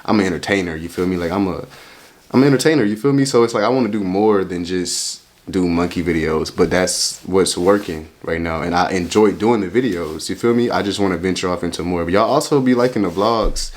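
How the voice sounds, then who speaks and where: Young man, twenties, deep low voice, casual reaction chat, slangy, rambling. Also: fairly quick. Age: 20s. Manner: rambling, slangy, keeps asking "you feel me?". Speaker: reaction video host.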